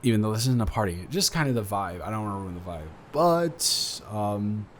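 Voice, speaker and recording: A young man playing an earnest, awkward college student smitten with a dormmate, rambling and halting in an intimate roleplay audio drama. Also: fairly low pitch, lively intonation, slight background noise, close to an everyday voice. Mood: gentle, considerate. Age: young adult.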